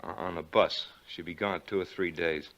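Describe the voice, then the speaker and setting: An old, dull-sounding radio recording of a guilty man with a very low, bright-edged voice. He stammers and dodges, nervous and blustering, with menace underneath, in 1940s suspense style. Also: fairly even intonation, moderate pace. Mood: nervously coaxing.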